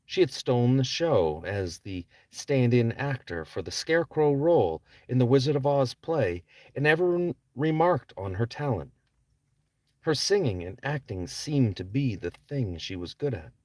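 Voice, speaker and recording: A man's voice: medium pitch, big pitch swings, measured pace, darkish tone, no room sound. A man reading his own novel aloud as a scripted audiobook narration. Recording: distant microphone.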